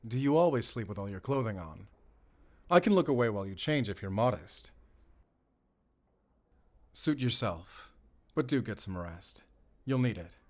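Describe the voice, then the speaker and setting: An actor playing a mysterious, confident monster hunter with a German accent in a gothic horror audio roleplay, speaking in a measured way to a companion. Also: male voice, on the low side, animated, darkish tone. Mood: caring.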